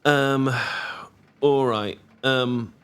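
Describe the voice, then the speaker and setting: A young British man in an intimate boyfriend roleplay, talking casually to the listener in a teasing, affectionate, sometimes halting and bashful way. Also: mid-range voice, fairly even intonation, clear, resonant tone. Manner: gently teasing, playful, affectionate. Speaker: audio roleplay performer.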